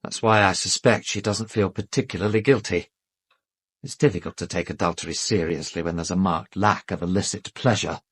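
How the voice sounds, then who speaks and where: A well-educated English gentleman in a radio drama. His voice is fairly low and noticeably husky, recorded dry. He is polite but guarded and defensive, with a weary, wry self-deprecation. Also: fairly quick, no room sound. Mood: wry, confessional. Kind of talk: British radio drama acting.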